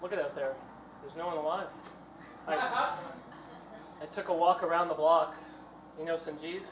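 A man's voice: a little high, recorded from a distance, lightly acted. A man acts out a boastful, clingy survivalist husband in a live comedy sketch. He stumbles over repeated words and is easily exasperated. He sounds set back from the mic in a very echoey room, with faint noise behind. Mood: awed, smug. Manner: halting, repeating himself, flaring into exasperation. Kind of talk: live two-person comedy stage sketch.

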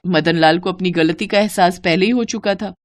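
A woman's voice: fairly low pitch, normal speed, no echo. A woman narrating a scripted cartoon story in a dramatic storytelling style.

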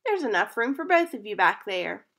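Storybook mom, patient and gently teasing, lively voice, read by a woman. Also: medium pitch, moderate pace. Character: patient, playful mother figure, adult woman.

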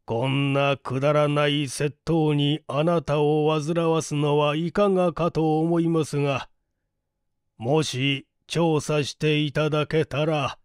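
A man voicing a courteous, troubled middle-aged father who explains things formally and deliberately in an audiobook mystery. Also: a little high, level tone, clean tone, no room sound, studio quality. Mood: earnest, troubled.

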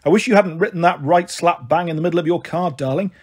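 A woman's voice: fairly low pitch, fast talker, darkish tone, husky. A woman voicing a flighty, self-pitying mother of thirty-three with a British accent, gloomy and emotional in an audiobook. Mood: gloomy, tearful.

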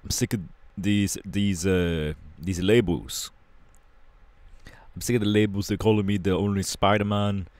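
Man playing a low-voiced punk rebel, close-up. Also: fairly even intonation, a little slow, darkish tone, no room sound, lightly acted. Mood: irritated, defiant. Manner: slangy, casual, drawling with hesitations. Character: laid-back rebellious young British punk superhero bassist, irritable. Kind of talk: ASMR audio roleplay.

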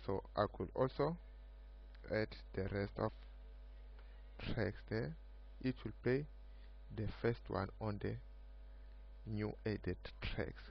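A man giving an unscripted screen-recorded software demo of his own project, speaking haltingly in short broken phrases. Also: fairly low pitch, vocal fry. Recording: muffled lo-fi mic with background hum.

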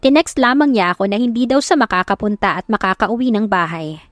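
A woman's voice: medium pitch, fairly quick, dry recording. A Filipino woman narrating a first-person romance story dramatically, as in an audiobook. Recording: music behind the voice.

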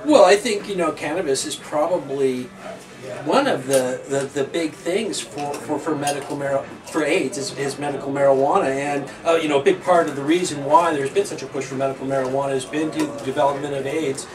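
A man's voice: fairly high pitch, a little fast. Easygoing shop owner man, echoey room. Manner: loose, with fillers like "like" and "you know". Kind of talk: casual interview answers. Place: small indoor shop. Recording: echoey room, distant mic.

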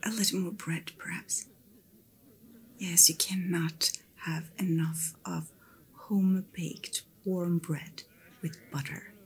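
Casting: woman as friendly female innkeeper for fantasy ASMR, whispered, very slow and attentive, lowish pitch. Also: fairly even intonation. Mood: soft, attentive. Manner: gentle, unhurried, chatty personal attention. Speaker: ASMR roleplayer.

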